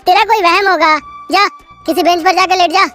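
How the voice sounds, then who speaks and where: A woman voicing Suresh, a skeptical male ticket clerk who talks loudly and impatiently. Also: very high-pitched, moderate pace, soft background music, voice pushed up. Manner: dismissive, loud, impatient.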